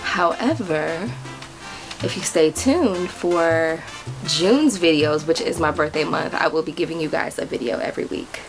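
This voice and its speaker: A woman's voice: low voice, unhurried, soft background music. A young American woman chatting casually to camera in a vlog, bubbly and giggly with lots of fillers. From United States. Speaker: vlogger.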